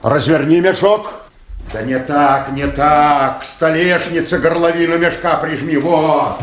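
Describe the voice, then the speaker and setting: An actor playing a gruff, bossy older boatman who barks folksy orders in a Soviet radio play. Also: fairly high pitch, a little slow, roomy sound, a little hiss or hum, close to an everyday voice. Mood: brusque, commanding. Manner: brusque, folksy, barking orders.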